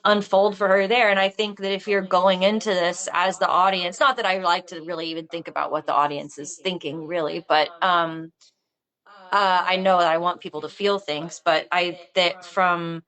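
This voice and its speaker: A woman talking casually in a panel discussion, thinking aloud in a halting, rambling way. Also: lowish voice, fairly even intonation, a bit bright. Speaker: filmmaker discussing own script.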